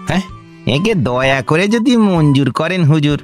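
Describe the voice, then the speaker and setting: A man plays an obsequious middle-aged village moneylender in a radio comedy, speaking Bengali with a West Bengal accent. His slightly high voice pleads meekly and hesitates as he humbly explains himself, over a music bed. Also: animated, moderate pace, close to an everyday voice. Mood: pleading, deferential. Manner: deferential, meek, hesitant pleading. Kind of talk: radio play acting.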